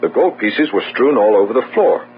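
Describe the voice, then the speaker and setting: A man's voice: mid-range voice, lively intonation, a little fast, lightly acted. Earnest radio policeman played by a man, thin sound. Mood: earnest, puzzled. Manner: polite, puzzled, earnest. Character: big, earnest, deferential young French policeman. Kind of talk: old radio drama acting. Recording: tinny old radio broadcast, humming noise.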